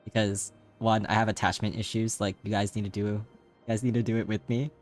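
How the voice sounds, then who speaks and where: Man, streamer, slightly raspy, low voice, rambling casual chat. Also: flat delivery, a little fast, faint music behind. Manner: rambling, many fillers, laughs often. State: voice tired from streaming.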